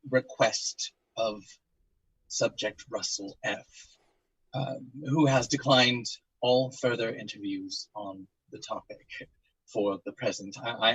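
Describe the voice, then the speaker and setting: An actor playing a regretful doctor giving halting, formal testimony for an audio drama. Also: male voice, mid-range voice, a bit bright, breathy edge, no room sound, low-quality recording. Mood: hesitant, formal.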